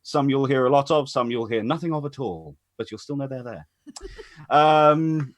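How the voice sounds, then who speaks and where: A man's voice: medium pitch, expressive, unhurried. A man hosting a casual live stream as announcer, chatty and rambling as he introduces things. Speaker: host and announcer. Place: online group call.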